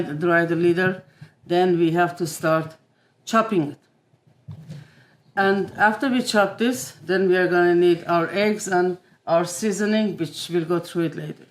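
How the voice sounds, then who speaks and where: A woman from Iran demonstrating a family recipe in her kitchen, explaining each step with frequent pauses and filler sounds. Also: medium pitch. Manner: unhurried, many filler "uh"s. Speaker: home cook. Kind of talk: cooking demonstration. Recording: echoey room.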